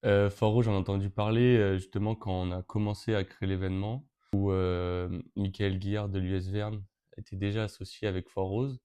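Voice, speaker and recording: Young man from Brittany, late teens, flat low delivery, halting with many restarts. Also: smooth. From Brittany, France. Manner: hesitant, frequent fillers and restarts. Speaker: interviewee, event co-organizer. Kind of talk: casual interview answers.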